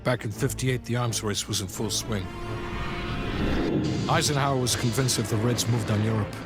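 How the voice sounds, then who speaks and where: Actor as cool, evasive intelligence official. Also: male voice, medium pitch, close to an everyday voice. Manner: measured, unapologetic, terse. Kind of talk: cinematic video game acting. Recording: loud music behind.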